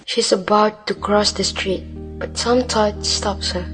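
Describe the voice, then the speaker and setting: Female radio drama narrator: deliberate storytelling, lowish-to-medium pitch, unhurried, over music bed. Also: steady tone. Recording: music and crowd noise behind. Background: clear music and crowd ambience.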